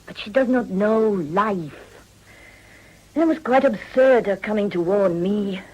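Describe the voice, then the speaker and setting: Slow, worldly woman. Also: medium pitch, animated, darkish tone, rough recording. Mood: cool pragmatism. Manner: deliberate, cool, sophisticated. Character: worldly, pragmatic French-speaking mistress, coolly discreet woman.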